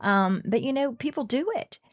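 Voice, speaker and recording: A woman's voice: fairly high pitch, animated, normal speed. A middle-aged woman co-hosting a faith radio talk show, speaking earnestly and often restarting her sentences. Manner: earnest, restarts often, acts out quoted speech. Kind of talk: casual faith discussion.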